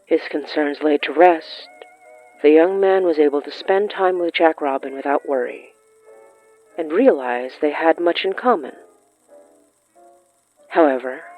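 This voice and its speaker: A narrator of middling pitch reads a scripted story. The recording is somewhat dull and lo-fi, with music playing faintly behind the voice. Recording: muffled lo-fi sound with music.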